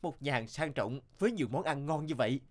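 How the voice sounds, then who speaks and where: A man's voice: medium pitch, animated, quick pace, lightly acted. A man acting an earnest young cartoon hero. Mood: reflective, sincere. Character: earnest young male actor, humble and proud, cartoon hero. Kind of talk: dramatic cartoon dialogue and storytelling narration.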